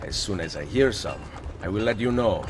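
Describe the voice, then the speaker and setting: An actor playing a determined, defiant Italian assassin in a game cutscene, speaking tersely and formally with an Italian accent. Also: male voice, lowish voice, animated, moderate pace, noisy background, lightly acted. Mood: defiant, dry. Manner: firm, terse, formal phrasing. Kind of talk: video game cutscene acting. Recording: game music behind the voice. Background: music.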